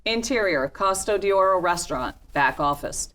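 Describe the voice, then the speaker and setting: A woman narrating a script's stage directions in a deliberate, matter-of-fact way. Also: mid-range voice, animated, a bit bright.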